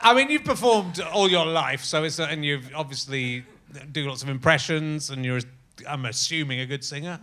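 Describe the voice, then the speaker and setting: A man's voice: fairly high pitch, moderate pace, sharp tone. A man in his fifties hosting a podcast, interviewing a guest in a wry, teasing way. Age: 50s. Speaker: podcast host. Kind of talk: casual interview chat.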